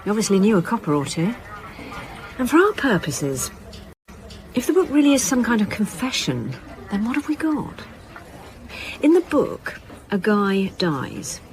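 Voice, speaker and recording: Lively-toned, guarded female detective. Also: mid-range voice, a little slow, pauses often. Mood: thoughtful. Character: female police detective inspector, wry, thoughtful, guarded mother. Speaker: police detective. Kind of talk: naturalistic radio drama dialogue.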